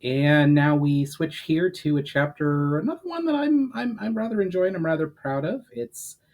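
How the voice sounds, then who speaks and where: A man's voice: fairly high pitch, animated, moderate pace, a little mellow. A man who hosts a story session as an author, presenting and reading his own fantasy writing aloud. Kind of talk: informal introduction, then dramatic story narration.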